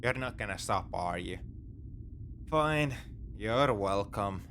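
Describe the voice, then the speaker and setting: A man's voice: fairly low pitch, animated, slow pace, a bit bright, heavily acted. Man playing a grudging space pirate over noisy ambience. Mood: reluctantly warming. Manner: exasperated, dismissive, teasing. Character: gruff, begrudgingly soft-hearted adult male space pirate captor. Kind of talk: sci-fi ASMR roleplay acting, addressing the listener.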